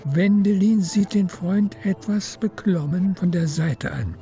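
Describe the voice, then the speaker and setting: Mellow, gravelly male radio narrator. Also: high-pitched, unhurried, soft background music. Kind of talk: scripted literary radio drama narration.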